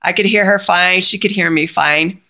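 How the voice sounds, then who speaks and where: A woman's voice: on the low side, normal speed, sharp tone. A woman casually telling a personal story in a chatty way, often quoting both sides of a conversation.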